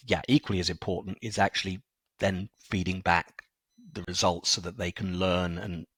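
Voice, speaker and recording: A man with a low voice explains his work as a scientist guest on a podcast. The sound is dry, with little room around it. He is measured and halting, picking through his thoughts. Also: fairly bright tone, husky, dry recording. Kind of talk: thoughtful podcast interview talk.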